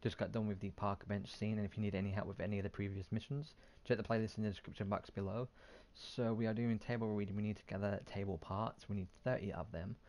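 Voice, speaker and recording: A man's voice: fairly low pitch, steady tone, fast, few pauses, a little mellow. A man hosting a gaming video, giving casual commentary as he plays, on a close mic.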